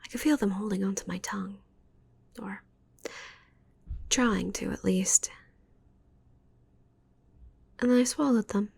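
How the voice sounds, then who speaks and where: Woman acting a slow, whispering possessive witch. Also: medium pitch, darkish tone, heavily acted. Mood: gloating, hushed. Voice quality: breathy whisper. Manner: slow, intimate, teasing delivery. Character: giant possessive yandere witch, sultry, gloating, doting. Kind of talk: whispered ASMR roleplay.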